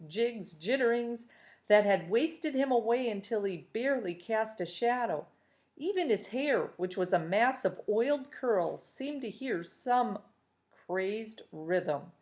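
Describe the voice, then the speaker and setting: A woman teacher reading a chapter book aloud to her students. Her pitch sits in the middle, and she talks in an upbeat, encouraging way with steady pacing through the narration. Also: unhurried. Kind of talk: reading a novel aloud to a class.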